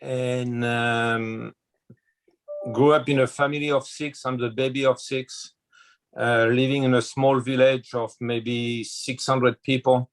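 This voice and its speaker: A man in his sixties from France speaks English with a clear French accent, unhurried and careful. His voice stays level with little rise and fall as he tells his life story in an interview. He pauses often and fills the gaps with small hesitations. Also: medium pitch, flat delivery. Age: 60s. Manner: slow, hesitant, full of fillers. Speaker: chef.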